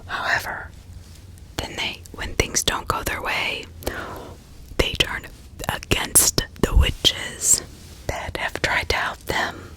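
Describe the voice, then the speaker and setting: A woman narrating true crime as an ASMR host in a breathy whisper, pausing often mid-sentence and adding small asides to the listener. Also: sharp tone, rough recording.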